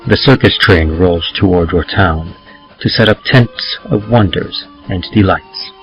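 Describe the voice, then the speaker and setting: A man giving a measured, theatrical reading of horror poetry, like a carnival barker. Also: low voice. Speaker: podcast host and reader. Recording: slightly muffled lo-fi recording. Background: music.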